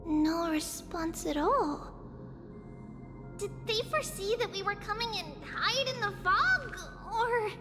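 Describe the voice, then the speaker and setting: A woman's voice: extremely high pitch, expressive, takes it slowly, exaggerated voice. A woman voicing an earnest, anxious young girl in a video game, with a small childlike voice. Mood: anxious, hushed. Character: earnest young girl searching for missing companions. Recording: long hall reverb, music underneath.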